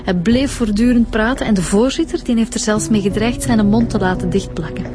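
An actress playing a brisk Flemish police inspector who reports facts plainly, in a radio drama. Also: female voice, medium pitch, over music, lightly acted.

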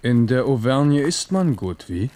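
An actor playing an ageing amorous French king in a German radio play, wry and wistful, with a measured, musing way of talking. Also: medium pitch, sing-song, dark tone. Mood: genial.

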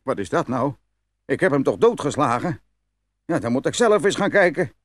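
A man's voice: mid-range voice, sing-song, moderate pace, dry recording. A man playing a greedy, gullible, hot-tempered peasant in a fairy-tale audio play, blustering and exclamatory. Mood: suspicious, surprised. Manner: blustering, exclamatory, stammers when startled.

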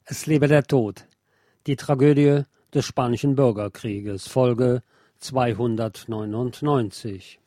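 A man reads a nonfiction book as a narrator, in a measured, formal way. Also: medium pitch. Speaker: narrator and audiobook reader of a radio programme.

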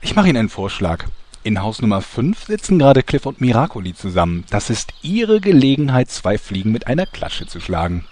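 A man's voice: medium pitch, very animated, a little fast, darkish tone. A man narrating a comedy audio drama with a wry, smug manner.

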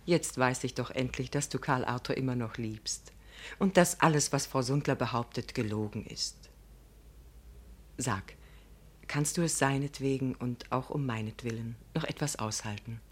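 A woman's voice: extremely low pitch, unhurried, heavily acted. Woman acting a whispering, tender motherly lady. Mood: tender, reassuring. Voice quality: hushed, breathy whisper. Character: tender, secretly conspiring mother figure, loving and reassuring. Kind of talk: literary radio drama acting.

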